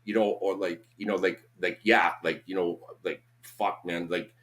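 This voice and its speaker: A man in his fifties with a Boston accent and a very deep, low voice. He talks in a loose, rambling way, often says "you know what I'm saying", and swears without a second thought. It sounds like a relaxed podcast chat. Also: normal speed. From Boston, USA. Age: 50s. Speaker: podcast guest. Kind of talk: casual conversation.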